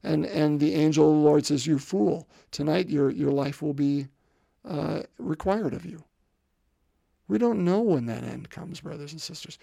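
Preacher with a gravelly, dry voice. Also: male voice, a little high, normal speed, mellow, no room sound. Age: 50s. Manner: conversational, repeats words, asks the congregation rhetorical questions. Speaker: pastor. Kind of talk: sermon.

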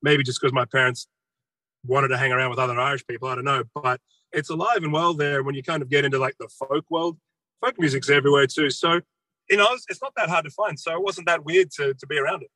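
A man's voice: on the high side, steady tone, fast, pushed and bright, no echo, low-quality recording. A man from Australia with an Australian accent, a band member chatting casually in an interview.

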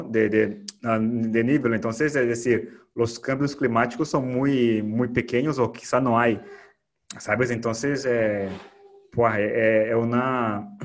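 A man hosting a podcast interview in casual chat, slipping words into his Spanish with many restarts. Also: mid-range voice. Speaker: podcast host.

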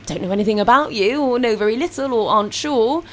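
Give me a woman radio host with a fairly high voice, encouraging and chatty. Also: animated, fast, a little hiss or hum. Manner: warm, encouraging, laughs often. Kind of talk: casual radio interview chat. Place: radio studio.

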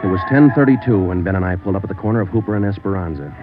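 An actor playing a stoic police sergeant in an old radio drama, speaking and narrating in a clipped deadpan. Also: male voice, deep voice, very fast, over music. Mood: matter-of-fact. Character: stoic, businesslike male police detective sergeant. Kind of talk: 1940s radio police drama, terse first-person narration. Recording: muffled, noisy old radio recording.

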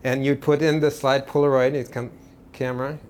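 A man from Portugal, a guest artist in a casual interview, explaining his work slowly with many pauses and restarts. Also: medium pitch, level tone, darkish tone, slight background noise.

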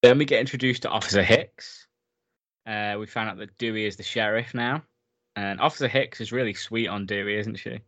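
A man's voice: lowish voice, brisk, fairly bright tone. A man in a casual film recap chat, enthusiastic and talkative, laughing often as he goes. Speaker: podcast co-host.

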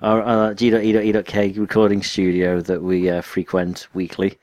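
A northern English man co-hosts a casual podcast, his voice on the low side. He rambles in a tired, self-deprecating way. The sound is dry and close, with no room around it. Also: completely flat delivery, normal speed, a little mellow, no echo. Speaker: podcast co-host. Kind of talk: casual chat.

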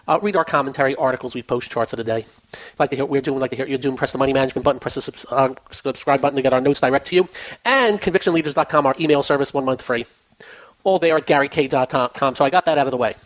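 A man's voice: medium pitch, rapid-fire. A man hosting a finance radio show, losing his voice, talking casually with fillers and repetition. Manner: chatty, repeats himself, many fillers. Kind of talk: casual radio market talk. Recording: dull lo-fi broadcast sound.

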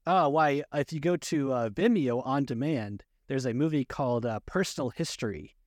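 Guy hosting a chatty film podcast, kinda halting n repeats himself, mid-pitched, dry recording. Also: no room sound. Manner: halting, repetitive delivery. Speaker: podcast host. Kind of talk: casual movie review chat.

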